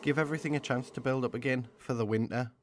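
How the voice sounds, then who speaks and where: A man's voice: mid-range voice, fairly even intonation, quick pace, natural voice. A man acting a cocky, teasing summer spirit in a comedic radio drama, slangy and mocking. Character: Summer, cheeky laddish male season, teasing and cocky. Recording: noisy background with insect buzz.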